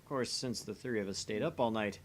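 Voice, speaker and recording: A man's voice: fairly low pitch, brisk, lightly acted. A man voicing a theatrical, grumbling young mad scientist, sardonic and dramatic, in a visual novel read-through.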